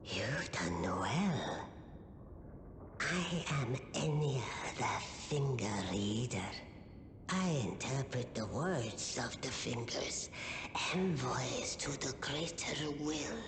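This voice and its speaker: An actress voicing an ancient, kindly crone seer in a fantasy game, breathy and reverent, drawing out her words in an echoing hall. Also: animated, full character voice. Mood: reverent, welcoming. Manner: drawn-out, mystical, reverent. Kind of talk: scripted fantasy game dialogue. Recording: long echoey hall, rumbling background noise.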